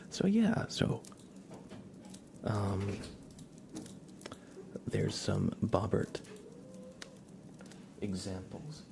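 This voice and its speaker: Low, creaky, soft-spoken ASMR man. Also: noisy background. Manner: soft-spoken, often dropping into whispers. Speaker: livestream host. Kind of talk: ASMR livestream chat.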